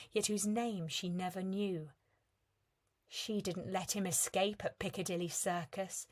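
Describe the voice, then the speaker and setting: A woman in her thirties reading a reflective piece of prose in a measured, calm way, softening at the ends of lines. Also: medium pitch. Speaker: reader of a competition entry.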